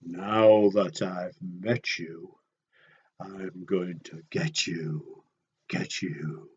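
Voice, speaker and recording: A man voicing a big, menacing male bird in a storybook, hungry and gloating with drawn-out words. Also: low voice, fairly even intonation, slightly rough, dull audio, voice pushed down, heavily acted. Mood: slow menace.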